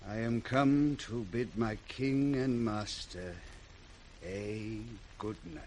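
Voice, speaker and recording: An actor playing a loyal, weary British earl in radio Shakespeare, grave, halting and sorrowful. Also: male voice, lowish voice, darkish tone. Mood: sorrowful devotion. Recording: noisy vintage radio recording.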